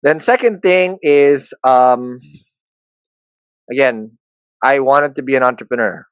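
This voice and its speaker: Filipino man, 30s, candid self-deprecating guest, mid-pitched, dry studio sound. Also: slow pace, smooth, no room sound, poor audio. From Philippines. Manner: self-deprecating, joking, frequent restarts. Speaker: podcast guest, entrepreneur. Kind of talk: candid conversational interview.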